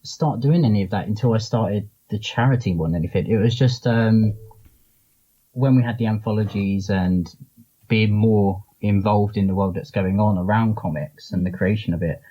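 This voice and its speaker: A man's voice: lowish voice, moderate pace, husky, muffled recording. A man being interviewed as a guest, talking casually and informally in loose, rambling explanations. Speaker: interview guest, comics charity founder and publisher.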